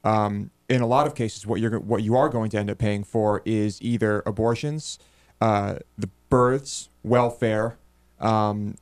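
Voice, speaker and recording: A man's voice: on the low side, fairly even intonation, unhurried, clear, resonant tone. A man in his twenties with an American accent, casually discussing politics as a co-host on a close studio mic. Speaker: talk show co-host. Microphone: close studio microphone on boom arm, headphones.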